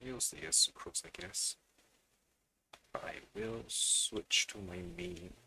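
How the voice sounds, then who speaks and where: A man's voice: mid-range voice, sing-song, slow, gravelly, noisy background. A man doing casual let's play commentary on a livestream, often muttering to himself as he plays. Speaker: game livestreamer.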